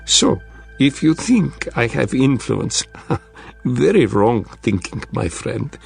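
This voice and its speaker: A man's voice: mid-range voice, big pitch swings, slow pace, gravelly, soft background music, exaggerated voice. A man doing a sly Russian strategist, thick accent. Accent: thick Russian accent, put on. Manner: clipped broken phrasing, dropped articles. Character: Russian male strategist, sly, blunt, wry.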